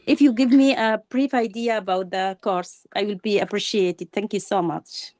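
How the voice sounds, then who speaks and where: A woman's voice: medium pitch, steady tone, moderate pace, a little mellow. A woman from Sudan, new to the meeting, politely asking questions over a video call with some hesitation. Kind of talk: casual question in an online meeting.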